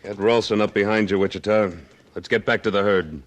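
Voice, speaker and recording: Man playing a deep-voiced laconic Old West cattleman. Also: fairly quick, loud music behind the voice, lightly acted. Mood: cool defiance. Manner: laconic, deadpan, unbending. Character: tough, dry, independent cattleman of the Old West. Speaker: lead actor and narrator. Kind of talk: 1950s radio western acting. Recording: vintage radio drama recording.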